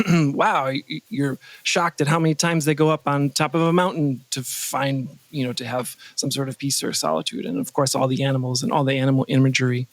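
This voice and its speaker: A man's voice: mid-range voice, fairly even intonation, brisk, hardly any pauses. An American man talking casually as a podcast guest, rambling and playfully breaking off his own thoughts. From Wisconsin, United States. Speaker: podcast guest, composer and sound designer. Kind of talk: casual interview conversation.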